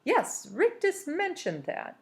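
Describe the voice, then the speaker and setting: A woman's voice: very high voice, wildly swooping pitch, takes it slowly, voice pushed up, exaggerated voice. A woman reading a storybook aloud as a curious young boy, speaking in short, unsure questions and replies. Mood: hesitant, wondering.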